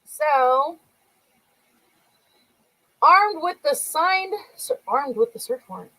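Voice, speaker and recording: A woman's voice: sits high, expressive, takes it slowly, bright, forceful tone, low-quality recording. A woman co-hosting a casual livestream, reading a true-crime account aloud and laughing often while she greets viewers. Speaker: co-host.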